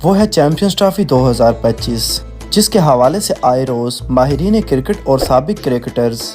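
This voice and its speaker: A man's voice: medium pitch, animated, moderate pace, a little mellow. A man with a Pakistani accent reads scripted news narration in a formal, declarative manner. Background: background music.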